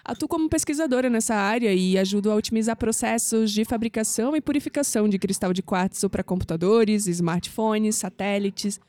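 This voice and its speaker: A woman's voice: mid-range voice, brisk, few pauses, warm tone, dry recording, natural voice. A woman playing a sceptical, matter-of-fact young Brazilian scientist in an audio drama. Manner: matter-of-fact, dismissive of mysticism.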